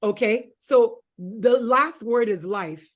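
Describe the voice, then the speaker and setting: A woman's voice: on the high side, slow pace. A woman hosting an interview show, coaxing her guest through a guessing game with patient hints. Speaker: show host.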